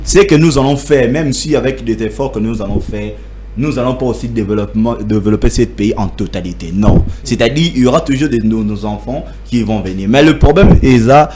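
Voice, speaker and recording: A young man with a Congolese accent, speaking haltingly in a debate and switching between French and Lingala. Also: medium pitch, noisy background. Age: young adult. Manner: halting, many restarts, mixes French with Lingala. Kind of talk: hesitant debate talk.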